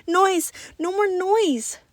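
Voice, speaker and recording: A woman voicing a sensitive, excitable young boy who speaks in short, repeated words. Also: extremely high pitch, very slow, dry recording, voice pushed up, full character voice. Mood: distressed, screaming.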